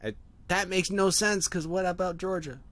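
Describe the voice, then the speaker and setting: A man's voice: high-pitched, normal speed, a bit bright. A man, not a native English speaker, brainstorming in a casual, profane chat and laughing often. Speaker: co-host of a writing session.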